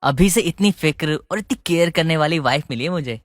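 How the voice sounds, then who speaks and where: A man's voice: fairly high pitch, a little fast, dry recording, clean studio sound, big-room sound, close to an everyday voice. A man voicing an eager, bashful young newlywed husband in a family story. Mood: affectionate.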